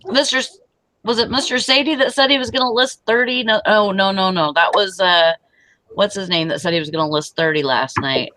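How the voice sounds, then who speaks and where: A woman's voice: medium pitch, brisk, bright, forceful tone, no room sound. A woman in her forties hosting a casual online hangout, chatty and giggly, often trailing off mid-thought, heard over a video call. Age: 40s. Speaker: host of an online hangout show. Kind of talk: casual morning chat.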